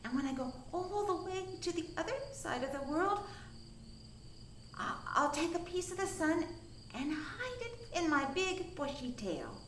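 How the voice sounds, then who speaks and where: A woman's voice: big pitch swings, unhurried, fairly bright tone, reverberant, a little hiss or hum, full character voice. A woman voicing a shy, timid little male possum in a small, stammering voice for a children's story. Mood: timid, eager. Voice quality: small, high voice.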